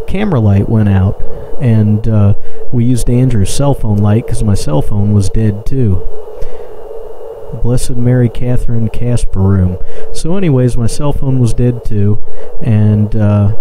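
A man's voice: mid-range voice, background noise. A man giving a casual, rambling, unscripted voice-over as an exploration vlogger. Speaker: urban exploration vlogger, narrator.

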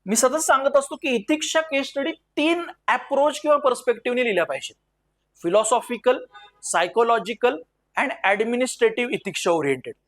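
A man lecturing in an explanatory way, mixing in English terms and slowing down emphatically for key phrases and quotations. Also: sits high. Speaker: civil services exam lecturer.

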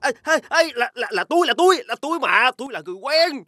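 A man voicing a timid but scheming poor husband in an animated fairy tale, stammering when flustered. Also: very high-pitched, fast talker, background noise. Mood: defensive.

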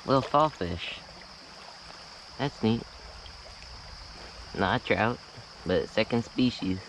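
A man with a lowish voice talks slowly and calmly to himself and the fish as he works a small stream. He makes short, easy remarks. Loud running water from the stream fills the action-camera recording. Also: slight background noise, poor audio. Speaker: fly fishing vlogger. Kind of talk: casual running commentary while fishing. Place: outdoors wading a small stream. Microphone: head-mounted action camera. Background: rushing stream water.

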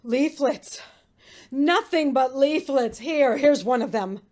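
An actress playing an urgent factory engineer in old radio drama style, clipped and excitable. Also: female voice, sits high, slow pace.

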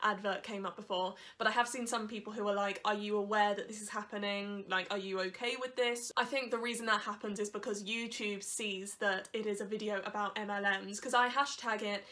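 A woman's voice: mid-range voice, fairly even intonation, brisk, few pauses, sharp tone. A young woman with a congested nose from hay fever, chatting candidly to her camera at home. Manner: chatty, candid, laughs between points. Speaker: YouTuber. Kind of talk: casual vlog chat. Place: living room at home. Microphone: camera on a desk in front of her.

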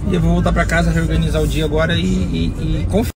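A high-voiced man in his thirties talks into a phone held at arm's length inside a car, his voice still a little rough from a recent cold. He rambles anxiously and pokes fun at himself, and music plays clearly behind him. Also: level tone, fast. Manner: anxious, rambling, self-mocking. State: recovering from a cold, voice slightly rough. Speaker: vlogger and performer. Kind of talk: casual selfie vlog.

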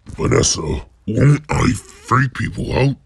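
A man's voice: mid-range voice, takes it slowly, husky, no room sound, full character voice. A man voicing a big, friendly, upbeat showman bear animatronic in a fan comic dub. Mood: worried.

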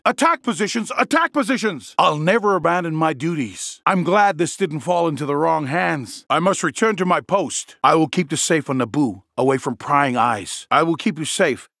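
An actor playing a dutiful, protective royal guard captain in a video game, with an earnest, formal, commanding manner. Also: male voice, a little high, fairly bright tone, no room sound, studio quality. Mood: urgent, shouting orders.

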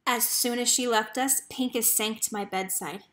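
A woman with a fairly high voice reads a picture book aloud. She narrates in an expressive storytelling style. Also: normal speed.

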